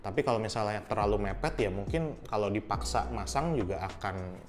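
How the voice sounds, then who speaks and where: A young man casually reviewing a helmet intercom, explaining each step in a relaxed, friendly way. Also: fairly low pitch, brisk, soft background music. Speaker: product reviewer.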